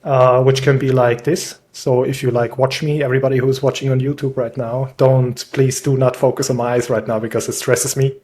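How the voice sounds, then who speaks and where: Swiss man, young adult, casual chat, mid-pitched voice, jokes and laughs, call audio. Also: flat delivery, fairly quick, few pauses, darkish tone. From Switzerland. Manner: chatty, self-deprecating, laughs often. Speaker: podcast guest. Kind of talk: casual conversational interview.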